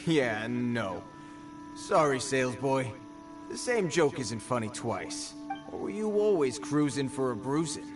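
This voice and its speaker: An actor playing a cocky bandit thug in a video game, with a slangy, taunting way of talking. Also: male voice, mid-range voice, very animated, a little slow, distant mic, music in the background. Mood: mocking.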